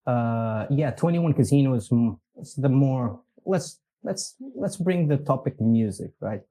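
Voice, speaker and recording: Portuguese-accented man, very dark voice. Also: medium pitch, expressive, measured pace. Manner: easygoing, meandering, chuckles at himself. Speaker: podcast guest, company executive. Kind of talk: casual interview conversation.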